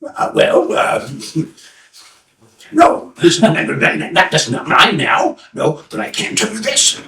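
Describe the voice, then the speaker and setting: A man voicing an old wise hermit seer in a told folk tale, cryptic, with a halting, drawn-out delivery, in a roomy, distant recording. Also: a little high, big pitch swings, gravelly, pushed and bright, heavily acted. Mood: hesitant. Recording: roomy echo, distant microphone.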